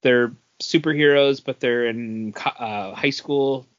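A man co-hosting a podcast, chatting casually about comics in a halting way as he searches for words. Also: mid-range voice, fairly bright tone. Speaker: podcast co-host. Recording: dull, lo-fi sound.

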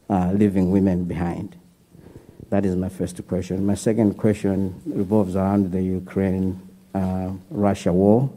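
A young man from Malawi, a graduate student, asking two earnest questions after a talk, pausing often with "uh". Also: low-pitched, dark tone. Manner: hesitant, many "uh" fillers, earnest.

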